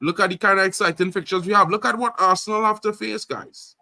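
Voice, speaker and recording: A man from Trinidad hosts a casual live football stream, talking loudly and excitedly with repeated catchphrases. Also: high-pitched, quick pace, vocal fry, no echo, clean studio sound. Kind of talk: casual live sports chat.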